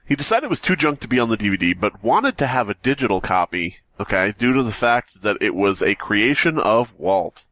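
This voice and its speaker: Guy on a comedy podcast, wry jokes, animated pitch, really dry close sound. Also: brisk, few pauses, no room sound. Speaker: podcast co-host. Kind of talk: casual comic banter, reading stories aloud.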